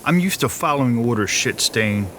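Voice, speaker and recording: An actor playing a gruff, dutiful military enforcer in an audio drama, curt and matter-of-fact. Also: male voice, mid-range voice, expressive, normal speed, a bit bright, close to an everyday voice. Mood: curt, contemptuous. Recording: faint background noise.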